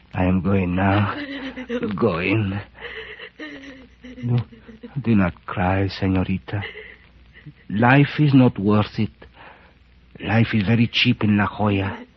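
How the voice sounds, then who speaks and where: An actor in an old-time radio drama playing a grave, courtly, fatalistic man who speaks in halting, formal phrases. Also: on the low side, fairly even intonation, breathy edge, heavily acted. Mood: solemn, fatalistic. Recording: muffled old radio recording.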